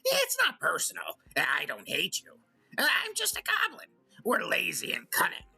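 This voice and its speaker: Casting: a sly, smirking male goblin talk host, animated and gravelly, rambling through self-justifying comedy banter. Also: medium pitch, moderate pace, soft background music, full character voice. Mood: sly, defiant. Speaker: radio call-in host. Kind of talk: comedic in-character monologue and banter.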